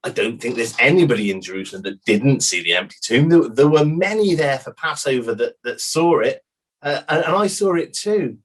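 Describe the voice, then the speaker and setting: A man playing a candid, doubting disciple testifying as a witness in a dramatized inquest, husky, emphatic but halting as he starts. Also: mid-range voice, expressive, natural voice, rough recording.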